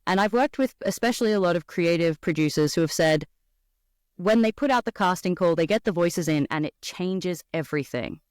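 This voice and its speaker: A woman's voice: on the low side, brisk, no echo, studio quality. A woman with an Australian accent, a voice actor chatting expressively as a podcast guest. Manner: animated, expressive storyteller. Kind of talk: casual podcast conversation.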